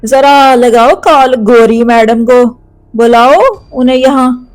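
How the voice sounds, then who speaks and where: A stern cartoon police bird, voiced by a woman, questions people firmly and slowly. The voice is fairly high and authoritative, over faint music. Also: little pitch movement, low-quality recording. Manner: firm, questioning, unhurried. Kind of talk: Hindi cartoon story dialogue.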